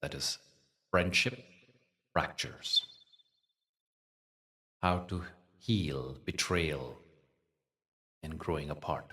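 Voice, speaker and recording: A man with a very deep voice teaches a guided meditation. He speaks very slowly and soothingly, leaving long, measured pauses. The voice sits at some distance from the microphone. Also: bright, forceful tone.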